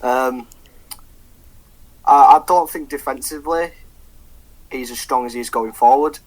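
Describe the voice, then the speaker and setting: A man's voice: medium pitch, measured pace, low-quality recording. A young man co-hosting a football podcast in casual chat, full of ums and restarts and swearing freely. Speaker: podcast co-host.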